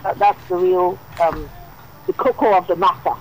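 A woman, a dermatologist guest giving measured, explanatory answers on a remote line. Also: on the low side, darkish tone, slight background noise, low-quality recording. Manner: measured, explanatory, uses you know often.

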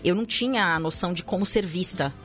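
A Brazilian woman co-hosting a radio talk show, telling stories in an animated way with acted-out quotes. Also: fairly low pitch, fast talker, faint music behind. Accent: Brazilian Portuguese. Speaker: radio co-host. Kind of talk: casual radio chat.

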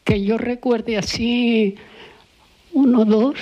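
An older woman with a Canarian Spanish accent reminisces in an interview. Her voice sits fairly high for a woman, and she speaks very slowly, halting as she searches for words. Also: fairly even intonation, warm tone. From Canary Islands, Spain. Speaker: interviewee recalling village life. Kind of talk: unscripted oral-history reminiscence.

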